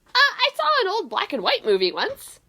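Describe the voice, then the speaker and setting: An excitable young female student in an audio drama, played by a woman. Her voice is high and bright, with hugely swooping intonation. She gushes and is keen to please. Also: moderate pace, full character voice. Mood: animated storytelling.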